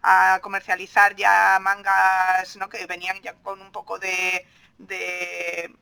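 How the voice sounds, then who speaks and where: Creaky, bright Spanish woman host. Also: medium pitch, completely flat delivery, slow. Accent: Spain. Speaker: podcast host. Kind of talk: casual interview chat.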